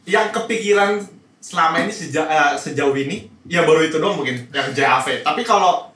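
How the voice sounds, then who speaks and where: A man with a Jakarta accent explaining things in a casual chat, halting and repeating words as he thinks. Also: a little high, expressive, a bit bright. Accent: Jakarta Indonesian. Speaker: panel guest answering questions. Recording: echoey room, distant mic, faint noise.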